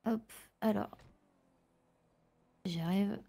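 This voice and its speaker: A mellow, slightly husky woman's voice acting out an orderly garage boss in improvised online roleplay. She explains things step by step, with hesitant phrasing. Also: medium pitch, steady tone, very slow, heavily acted. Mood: calm, explanatory. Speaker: manager recruiting a new employee.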